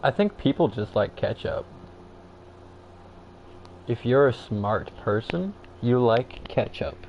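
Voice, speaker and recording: A man's voice: mid-range voice, lively intonation, slow pace, muffled recording, a little hiss or hum. A man with a Canadian accent chatting casually on a livestream, rambling with lots of fillers and restarts as he answers viewers. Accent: Canadian English. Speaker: streamer answering chat.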